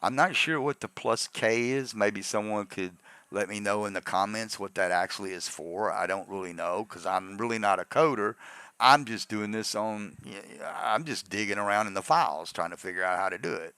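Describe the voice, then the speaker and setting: A man with a gravelly voice narrates a software tutorial in an informal, rambling step-by-step way, often checking in with an "okay". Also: lowish voice, moderate pace, no room sound. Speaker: tutorial host.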